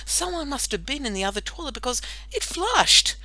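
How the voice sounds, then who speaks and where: A woman's voice: high-pitched, sing-song, normal speed, bright, forceful tone, no echo, voice pushed up, heavily acted. A woman voicing a nervous, defensive young schoolgirl in a children's story, flustered and emphatic. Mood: flustered, pleading.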